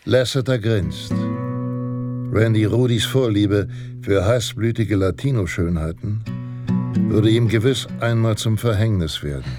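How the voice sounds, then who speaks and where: An actor playing a grave, self-assured Western pulp narrator in a radio drama, speaking in a measured, dramatic way. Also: male voice, lowish voice. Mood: wry, self-assured. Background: guitar music.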